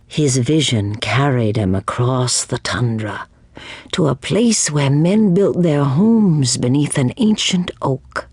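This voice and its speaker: Husky, slow old seer woman. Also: very deep voice, a little mellow, no room sound, exaggerated voice. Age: older. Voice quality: breathy, husky, aged. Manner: slow, grave, portentous. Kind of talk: dramatic fantasy game voice acting.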